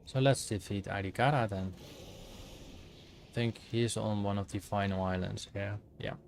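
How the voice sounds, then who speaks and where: A man's voice: fairly low pitch, steady tone, normal speed, creaky, darkish tone, slight background noise. A Dutch man streaming a game and chatting with viewers, thinking aloud with many fillers and restarts. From Netherlands. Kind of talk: casual livestream commentary while gaming.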